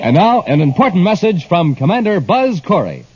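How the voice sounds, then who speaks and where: A man's voice: high voice, sing-song, normal speed, darkish tone, husky. A man with an American accent announcing in 1950s radio style, with a punchy, enthusiastic hard-sell delivery. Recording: dull, hissy old radio recording.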